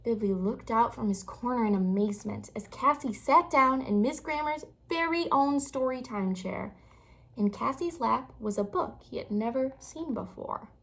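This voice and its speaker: A woman reading a children's picture book aloud with expressive, dramatic storytelling phrasing. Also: on the high side, normal speed, poor audio.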